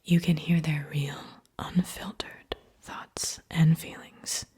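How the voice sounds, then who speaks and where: A woman whispering in a breathy voice as she hosts an ASMR guided visualization, speaking straight to the listener and softly checking in with questions. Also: deep voice, fairly even intonation, slow. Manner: gentle direct address with soft check-in questions. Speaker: ASMR host guiding listeners.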